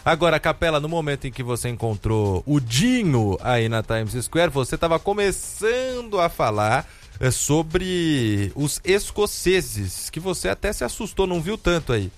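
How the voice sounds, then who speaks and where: A man hosting a live radio talk show from the studio, with relaxed, joking banter. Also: a little high, unhurried.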